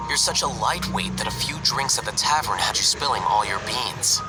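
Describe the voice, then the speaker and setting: A man's voice: medium pitch, moderate pace, lightly acted, rough recording. A male actor playing an aloof young scholar in a video game, coolly sarcastic, with a dry, unruffled and condescending delivery. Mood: matter-of-fact. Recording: loud background music under the voice.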